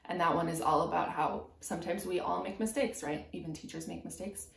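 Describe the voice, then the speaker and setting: A woman in her twenties reads a picture book aloud as a preschool teacher, pausing to put simple, encouraging questions to young children. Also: fairly low pitch, fairly even intonation, creaky. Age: 20s. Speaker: preschool teacher reading a storybook. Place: small room at home, indoors. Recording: roomy indoor echo.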